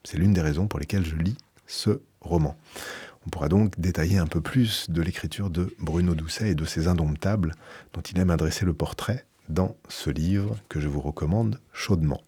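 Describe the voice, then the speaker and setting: A man who is a radio book columnist, reading a book aloud in a measured, emphatic way and then reviewing it, in a radio studio. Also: sits low, warm tone, husky, dry recording.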